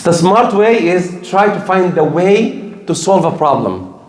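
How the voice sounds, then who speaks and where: A man's voice: high-pitched, expressive, a little slow. An older man preaching a sermon in English, emphatic and repeating his key points. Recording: echoey room.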